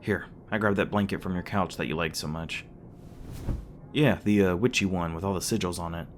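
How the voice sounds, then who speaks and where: Man playing a low-voiced, casual demon boyfriend. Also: fairly quick, soft background music, natural voice. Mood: cozy, affectionate. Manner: casual, hesitant asides, joking. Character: male demon boyfriend, young for a demon, teasing, casual, sweet. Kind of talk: ASMR roleplay audio, casual and conversational.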